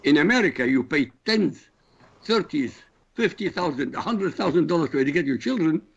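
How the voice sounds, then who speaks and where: A man from Iraq, Arabic his first language, talks with a gravelly, husky voice. He gives his views bluntly and forcefully, and the line sounds dull and muffled. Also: a little high, animated, a little fast. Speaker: show regular. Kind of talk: talk radio discussion.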